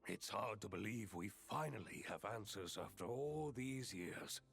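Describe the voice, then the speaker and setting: A man's voice: fairly low pitch, expressive, measured pace, gravelly, fairly bright tone, exaggerated voice. An actor playing a boisterous, good-humored male adventurer in a video game, hearty and theatrical. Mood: grateful. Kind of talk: video game character acting.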